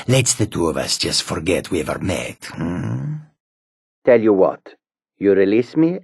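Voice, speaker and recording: An actor playing a boastful, menacing cat-folk criminal in a fantasy video game, theatrical and smugly mock-courteous. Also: male voice, low-pitched, husky, no echo, clean studio sound, full character voice.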